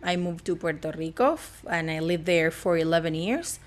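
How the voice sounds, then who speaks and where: A woman's voice: lowish voice, normal speed. A young woman from Argentina with an Argentine accent, chatting playfully as a podcast guest and laughing often. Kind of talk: casual chat.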